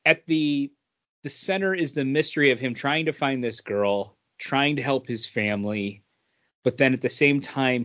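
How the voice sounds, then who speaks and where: A man talks through a review in a casual, conversational way as a podcast co-host. His delivery is halting, stopping to find words. His mid-pitched voice comes through a somewhat muffled, lo-fi recording. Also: a bit bright.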